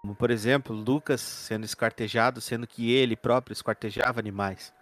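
A man playing an earnest, uneasy detective in a horror roleplaying game, with formal, deliberate phrasing. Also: medium pitch, steady tone, a bit bright, a little hiss or hum, lightly acted. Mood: pondering.

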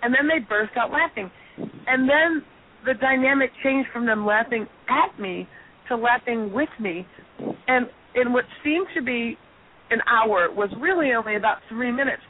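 A hoarse middle-aged woman, a comedian and guest, telling a self-deprecating story in an animated way on a radio interview. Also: fairly high pitch, moderate pace. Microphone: telephone. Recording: tinny phone line.

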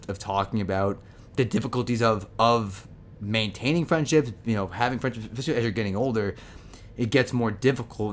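A man's voice: on the low side, slight background noise. A man co-hosting a casual podcast, loose and joking, introducing the episode's topic. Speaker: podcast co-host. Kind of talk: casual chat.

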